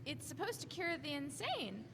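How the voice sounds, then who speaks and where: Perky, curious young flapper female actor, high swooping voice, teasing, period stage drama. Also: very animated, normal speed, background noise.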